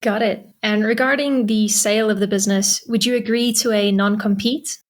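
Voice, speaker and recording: Businesslike woman interviewer, brisk, short questions. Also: medium pitch, fairly even intonation. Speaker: podcast host.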